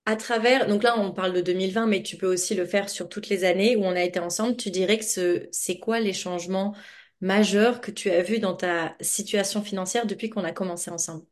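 A woman hosting a podcast interview in a casual, chatty and expressive way, speaking informally as a coach. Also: mid-range voice, brisk. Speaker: podcast host and money coach.

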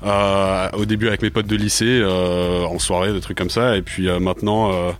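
A man's voice: low-pitched, level tone, moderate pace. A man in his twenties, a native English speaker, answering a radio interview in a studio with many hesitations and fillers. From France. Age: 20s. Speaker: rapper guest.

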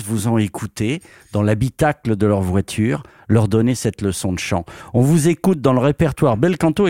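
A man's voice: on the low side, a little mellow, no room sound, clean studio sound. A man hosting a radio interview, effusive and complimentary with his guest. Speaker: radio host.

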